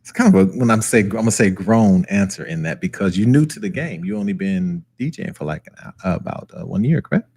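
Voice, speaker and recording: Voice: sits low, lively intonation, fast, few pauses, a little mellow, a little hiss or hum. A radio host chatting casually, hyped and playful, cheering the guest on. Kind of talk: casual interview chat.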